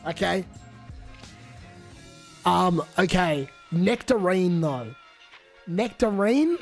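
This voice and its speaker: A man's voice: sits high, lively intonation, drawn-out words, gravelly, soft background music. A young man with a New Zealand accent who is sick, rambling and swearing casually on a live stream while reading chat aloud.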